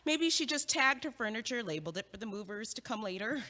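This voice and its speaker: A woman's voice: a little high, animated, fast talker, pushed and bright. An actress voicing a blunt young woman student who speaks in a dry, sarcastic way. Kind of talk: audio drama acting. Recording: long hall reverb.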